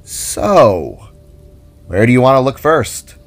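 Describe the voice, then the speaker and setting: A man voicing a cheery, positive orb-headed being in a story, with a reassuring manner and quiet music behind. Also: medium pitch, sing-song, slow, full character voice. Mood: curious.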